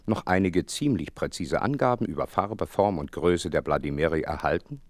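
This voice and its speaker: An actor playing a detective's earnest, easily exasperated doctor companion in a German audio drama, with a husky voice. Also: male voice, low-pitched, expressive, moderate pace. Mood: measured narrating. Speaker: narrator and character.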